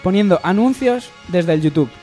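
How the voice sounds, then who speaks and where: A man with an accent from Spain and a notably high voice for a male. He co-hosts a comic radio show in a casual, chatty style, with a music bed playing softly behind. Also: expressive, moderate pace. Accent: Spanish, from Spain. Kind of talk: casual comedic chat. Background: background music.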